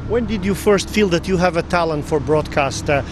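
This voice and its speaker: A man's voice: on the high side, a little fast, music in the background. A man hosting a TV interview who asks formal questions in a hesitant way, with many filler sounds. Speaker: interviewer, TV host.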